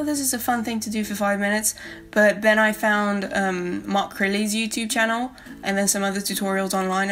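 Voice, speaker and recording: A young woman with a light Spanish accent talks in a loose, rambling voice-over, full of fillers. Her pitch stays fairly flat and even. Faint guitar music plays softly behind her. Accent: South American Spanish. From South America. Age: young adult. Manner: rambling, friendly, many fillers. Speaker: art YouTuber. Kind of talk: casual voice-over while drawing.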